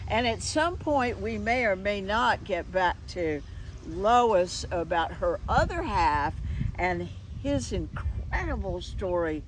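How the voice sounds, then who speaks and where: A woman hosting an unscripted interview, chatting in a halting, conversational way and often restarting her phrases. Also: mid-range voice, expressive, poor audio. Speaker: interviewer and host. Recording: clear traffic and street noise behind.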